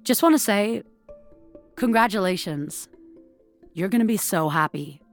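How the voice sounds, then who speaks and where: A woman voicing an earnest, excited delivery man in an audio drama. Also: medium pitch, a little slow, faint music behind, lightly acted. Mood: excited, reverent.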